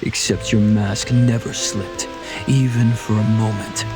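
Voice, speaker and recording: An actor playing an unhinged, sneering male game villain with a theatrical, drawn-out, mocking delivery. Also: fairly low pitch, little pitch movement, natural voice. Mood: bitter, scornful. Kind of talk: dramatic video game voice acting. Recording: loud music behind the voice.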